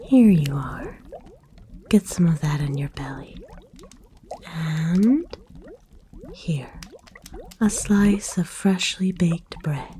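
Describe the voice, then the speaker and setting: A woman playing a kind, flirtatious forest witch in a soft, breathy, gently coaxing ASMR roleplay. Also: on the low side. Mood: warm, hospitable.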